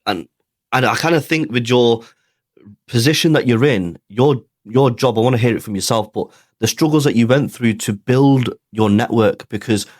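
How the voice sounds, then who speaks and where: A man's voice: mid-range voice, normal speed, dry recording, studio quality. A man hosting a podcast interview, asking questions casually with hesitant fillers. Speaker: podcast host.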